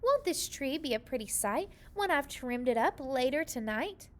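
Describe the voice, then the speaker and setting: A woman's voice: high voice, lively intonation, normal speed. A woman voicing a genteel, dainty and fretful upstairs maid in a children's storybook, sighing dramatically. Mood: wistful, fretful.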